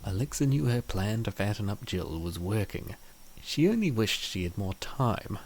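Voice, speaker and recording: A man's voice: lowish voice, animated, moderate pace, darkish tone, low-quality recording. A man reading scripted story narration as the narrator of a comic dub.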